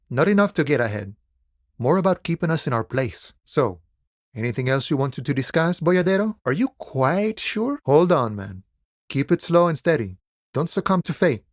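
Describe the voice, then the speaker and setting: An easygoing male harbor striker from a video game, a lazy philosopher with wry, folksy musings. His voice sits a little high for a man and moves with very lively, swinging intonation. The sound is close and dry, with no room on it. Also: moderate pace, no room sound. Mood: calm, wry. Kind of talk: video game dialogue acting.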